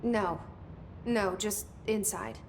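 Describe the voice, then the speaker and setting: An actress playing a wary, curious newcomer to a secret agency in a video game, asking terse questions. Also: female voice, mid-range voice, takes it slowly, background noise, natural voice. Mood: questioning.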